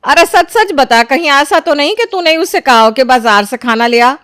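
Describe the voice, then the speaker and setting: A woman voicing a suspicious, probing mother-in-law in an animated story. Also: sits high, normal speed, dry recording, clean studio sound. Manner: probing, scolding.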